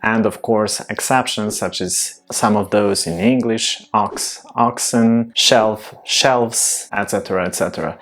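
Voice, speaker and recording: A man with a Serbian accent, a native Serbian speaker, explaining grammar points to viewers in an earnest, emphatic way as a language vlogger. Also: on the low side. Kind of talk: educational explainer.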